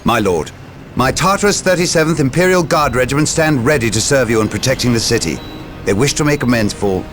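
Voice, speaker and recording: A man's voice: medium pitch, animated, fairly quick, music in the background, natural voice. An actor playing a formal, earnest military man in a grim sci-fi video game cutscene. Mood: earnest, dutiful. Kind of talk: scripted game cutscene dialogue.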